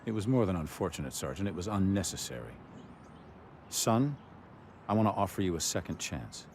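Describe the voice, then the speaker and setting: A man playing a middle-aged, persuasive, imperious magnate in a video game, speaking in a deliberate, controlled, pointed way. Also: low-pitched, big pitch swings, darkish tone, a little hiss or hum. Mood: firm, persuasive. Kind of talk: dramatic video game acting.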